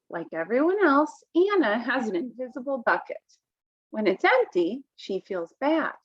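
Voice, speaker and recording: A woman reading a picture book aloud to children, expressively, pausing to comment and ask simple questions. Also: on the high side, highs cut off. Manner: expressive, asks simple questions of young listeners. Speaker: storybook reader.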